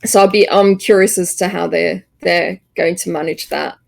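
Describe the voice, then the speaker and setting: A woman co-hosting a podcast, chatting casually about games in a hesitant, halting way. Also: fairly low pitch, fairly even intonation, creaky. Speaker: podcast co-host.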